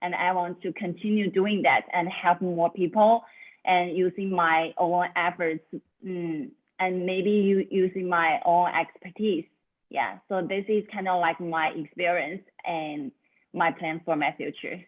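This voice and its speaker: A woman's voice: on the low side, fairly even intonation, unhurried. A young woman student, a native Chinese speaker from China, introducing herself in careful second-language English with many "kind of like" phrases. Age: young adult. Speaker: student volunteer. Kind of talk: self-introduction. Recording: tinny phone-like call audio.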